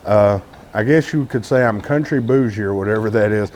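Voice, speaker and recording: A man's voice: on the low side, a little fast, darkish tone, slight background noise. A man from Texas with a Texan accent, talking casually to camera as a car vlogger, candid and rambling with lots of "uh" fillers.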